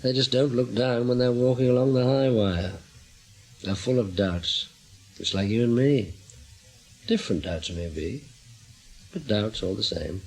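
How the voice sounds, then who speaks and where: An actor playing a guarded, steady British intelligence man in a radio drama, terse and understated with a slightly husky voice. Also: mid-range voice, a little slow, pauses often, close to an everyday voice, poor audio. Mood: reassuring. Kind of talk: naturalistic radio drama acting.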